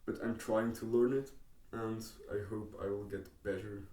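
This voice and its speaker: A young man from Switzerland with a Swiss accent, English not his first language, slowly explaining politics over diagrams with many pauses and ums. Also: fairly low pitch, fairly even intonation, dark tone, far from the mic. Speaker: educational YouTuber. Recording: echoey room, voice away from the mic.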